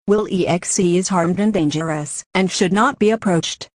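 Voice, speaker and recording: A woman's voice: fairly low pitch, normal speed, no echo, clean studio sound, natural voice. A synthetic woman's voice as an emergency alert announcer, reading a scripted warning in a formal, impersonal manner.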